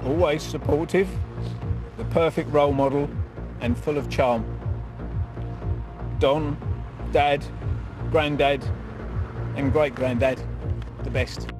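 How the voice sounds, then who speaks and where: A man's voice: on the high side, fairly even intonation, slow, pauses often, over music. A man giving a prepared spoken tribute as a bereaved relative, in short separate phrases.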